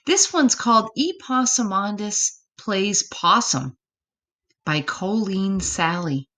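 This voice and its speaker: A woman reading a storybook aloud to children in an expressive way, with chatty asides to her listeners. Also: fairly low pitch. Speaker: storybook reader.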